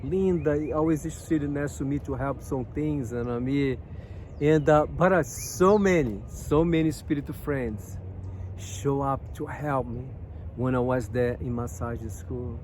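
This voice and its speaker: A man from Brazil with a Brazilian Portuguese accent, speaking English as a second language, sharing personal stories in an earnest, halting way and often asking "you know what I mean?". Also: medium pitch, darkish tone, slight background noise. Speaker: massage therapist sharing life lessons. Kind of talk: casual personal talk to viewers.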